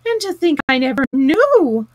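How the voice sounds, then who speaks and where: A vain toad from a children's tale, put on by a woman, lost in blissful obsession. He talks in dreamy, grandiose exclamations, pitched high. The recording is dry and close. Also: a little slow, no room sound. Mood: rapturous.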